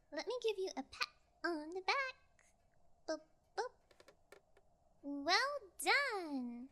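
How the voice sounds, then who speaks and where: A woman playing a cocky, callous kidnapper in an audio roleplay, with a flippant, teasing, drawn-out delivery. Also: high-pitched, full character voice. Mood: mocking, playful. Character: cocky, callous sociopathic female yangire kidnapper, flippant and taunting. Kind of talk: ASMR audio roleplay acting.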